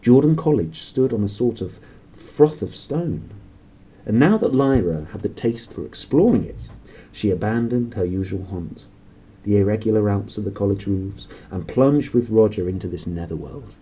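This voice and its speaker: Male storyteller, thirties. Low, mellow, slightly husky; swooping, dramatic read. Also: expressive, moderate pace, low-quality recording. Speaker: storybook reader. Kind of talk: expressive read-aloud of a children's novel. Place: small cluttered room indoors.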